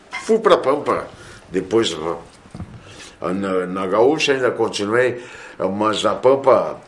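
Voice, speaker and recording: A man's voice: fairly high pitch, slight background noise. A sports radio announcer telling anecdotes and acting out his goal calls with animation. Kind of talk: anecdotal interview.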